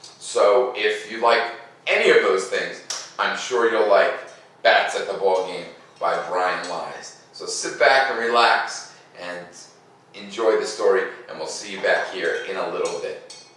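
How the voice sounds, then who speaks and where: The recording is very echoey, as if he stands a little away from the microphone in a room. He is a middle-aged American man with a slightly low voice, speaking as a teacher to young children. His delivery is animated, with big emphasis on key words. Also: unhurried. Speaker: teacher reading stories aloud to young pupils. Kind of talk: casual chat to children, then storybook read-aloud. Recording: echoey room, a bit far from mic.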